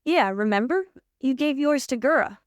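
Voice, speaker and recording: A woman's voice: sits high, lively intonation, normal speed, no echo, studio quality. A woman playing a matter-of-fact talent manager in an audio drama, direct and questioning. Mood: puzzled, firm.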